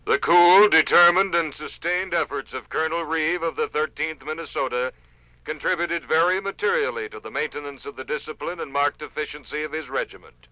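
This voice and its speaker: A man's voice: fairly high pitch, sharp tone, dry recording, rough recording. A man playing a general reading a formal official report in a 1950s radio drama.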